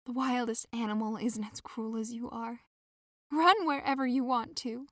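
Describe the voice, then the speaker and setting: Actress as lovesick young woman, pleading and earnest, highish voice, theatrical audio play, very dry recording. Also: fairly even intonation, normal speed, a bit bright, dry recording, lightly acted. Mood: hurt, determined. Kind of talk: theatrical audio drama acting.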